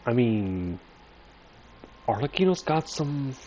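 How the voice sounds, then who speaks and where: A man's voice: medium pitch, big pitch swings, slow pace, mellow, slight background noise. A man doing casual playthrough commentary, reading dialogue aloud and reacting, with game ambience behind him. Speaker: gamer reading and reacting to a story.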